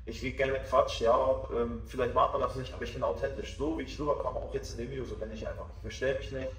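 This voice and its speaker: A man in his twenties, a DJ, talking about his music in a relaxed, self-assured way during a casual interview. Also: mid-range voice, quick pace, far from the mic, slight background noise. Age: 20s. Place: small backstage room with sofa, indoors. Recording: echoey room, voice off-mic.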